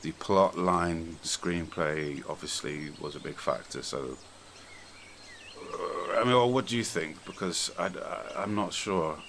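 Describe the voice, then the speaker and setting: A man's voice: deep voice, measured pace, a bit bright. A British man hosting a podcast, chatting casually about films in an informal, blunt way. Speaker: podcast host. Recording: noticeable background noise.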